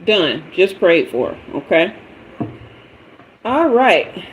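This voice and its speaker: A woman's voice: lowish voice, sing-song, drawn-out words, lo-fi sound. A woman in her fifties, a tarot reader hosting a casual livestream, chatting playfully with viewers and drifting away from and back to the mic. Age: 50s. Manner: chatty and playful, greeting viewers and asking for emojis.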